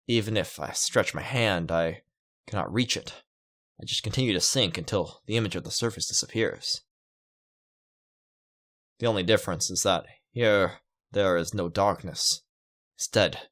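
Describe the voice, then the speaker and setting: Low-voiced man reading a visual novel. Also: fairly bright tone. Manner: musing, reacting aloud to the story. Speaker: let's player. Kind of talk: reading a visual novel aloud with casual asides. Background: game music.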